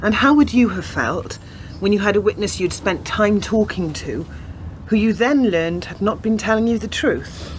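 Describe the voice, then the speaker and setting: A woman's voice: medium pitch, lively intonation, moderate pace, natural voice. A woman playing an earnest, stubborn young policewoman in a radio play, speaking persuasively. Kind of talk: scripted radio play acting. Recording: community radio drama with clear background noise.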